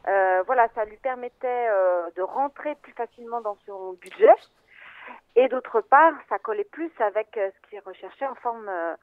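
A woman film editor speaking over a thin, phone-like line that sounds dry, with no room echo. She talks slowly and thoughtfully, pausing on fillers and restarting her sentences as she explains her work. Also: a little high, expressive. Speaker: film editor, interview guest.

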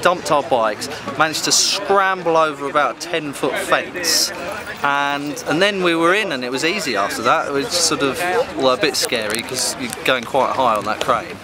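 English man, thirties, lightly high voice, hesitant casual storytelling, outdoor crowd noise. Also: normal speed. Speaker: activist interviewee. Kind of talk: casual recounting. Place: open field outdoors near a crowd. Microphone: handheld camera at close range.

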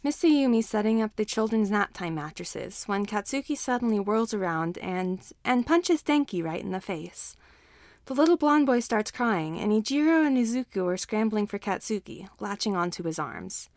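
Woman, story narrator, scripted reading, mid-range pitch, dry recording. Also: expressive, normal speed, a little mellow, no room sound. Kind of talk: scripted narration, audiobook reading of fan fiction.

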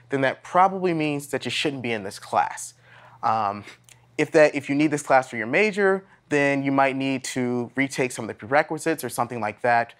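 A man's voice: mid-range voice, a bit bright, natural voice. An American man in his thirties playing a blunt, earnest graduate teaching assistant instructing a class in a casual, plain-spoken way. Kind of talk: classroom instruction, acted dramatization. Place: classroom lab, indoors.

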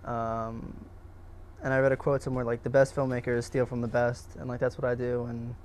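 A man's voice: mid-range voice, monotone, fairly quick, a little mellow, slight background noise. A young man, a student filmmaker, answering interview questions casually in a hesitant, self-deprecating way. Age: young adult.

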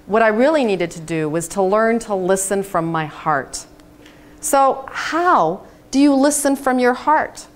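A woman in her fifties from the United States giving a storytelling keynote speech in a conference room, animated and dramatic. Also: mid-range voice, measured pace. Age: 50s. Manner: animated, dramatic, gesturing on stage. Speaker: keynote speaker. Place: hotel conference room, indoors.

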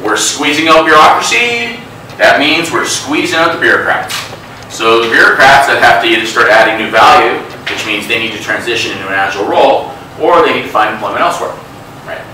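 Animated middle-aged man, echoey meeting room. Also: medium pitch, a little fast. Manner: animated, gestures a lot, many fillers and asides. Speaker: speaker. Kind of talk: interactive talk at a meetup. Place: hotel meeting room with a small group. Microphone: lapel mic, filmed from across the room. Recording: echoey room, from a distance, faint hiss.

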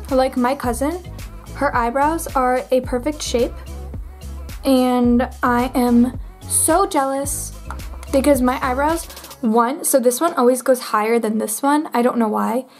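A teenage girl chatting through her makeup routine at her bedroom vanity, bubbly and full of "like", getting over a cold. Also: sits high. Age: teens. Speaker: beauty vlogger. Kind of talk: makeup tutorial chat.

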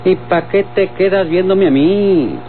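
An actor playing a taunting, hot-headed cowboy with a Mexican accent in an old radio drama. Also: male voice, high voice, a little slow. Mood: angry, taunting. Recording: tinny old radio recording, music behind.

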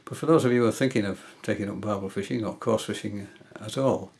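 Older guy, kinda husky low voice, lots of uhs while he explains stuff at home. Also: fast, darkish tone. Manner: hesitant, many fillers and restarts. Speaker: angling hobbyist giving a tutorial. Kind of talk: casual explanatory demonstration. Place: indoors, small room at home.

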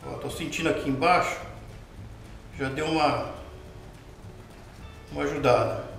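Chatty high-voiced man cooking at home. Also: fairly even intonation, a little slow, vocal fry, fairly bright tone, soft background music. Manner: chatty, explains patiently, often checks with the viewer. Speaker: home cooking show host. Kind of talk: step-by-step cooking demonstration. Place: home kitchen, indoors. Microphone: camera mounted overhead. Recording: echoey kitchen with light background noise.

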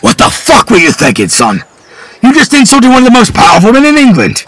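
A man reading aloud, voicing a stern middle-aged father, once a sailor, who pleads and then shouts in rage. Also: mid-range voice, big pitch swings, moderate pace, husky, dry recording. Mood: enraged.

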